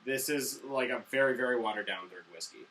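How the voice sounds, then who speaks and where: Bright-voiced man, slightly off the mic. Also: medium pitch, brisk, recorded from a distance, low-quality recording. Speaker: co-host of a movie review show. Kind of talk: casual unscripted review chat.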